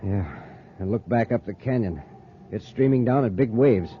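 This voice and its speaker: A man's voice: on the low side, moderate pace, natural voice. Man acting a stoic, wry injured space pilot. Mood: worried. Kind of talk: 1950s radio adventure acting. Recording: dull, noisy old radio recording.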